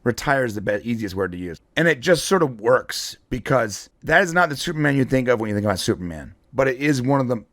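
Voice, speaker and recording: A man's voice: medium pitch, sing-song, quick pace, hardly any pauses, fairly bright tone, no echo. A man giving casual pop culture commentary as a show host, explaining things in an enthusiastic, conversational way. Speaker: show host and comic book fan commentator.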